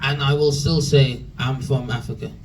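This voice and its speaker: A man's voice: medium pitch, steady tone, normal speed, distant mic, low-quality recording. A young man, mentee telling a story to a room, chatty with many fillers and restarts. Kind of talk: nervous personal story at a talk. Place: room with an audience. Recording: from across a room, faint room noise.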